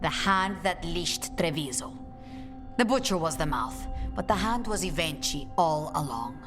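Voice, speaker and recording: Grave underworld ally, lowish female voice, measured, resolute, fantasy game dialogue, faint music. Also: animated. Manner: measured, solemn. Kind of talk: dramatic video game voice acting.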